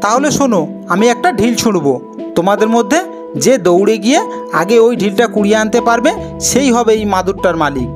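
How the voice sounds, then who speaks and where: A bold, clever young village hero from a fairy-tale cartoon, put on by a male storyteller. He speaks directly in a high male voice, with clear music in the background. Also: animated, normal speed. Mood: curious, crafty.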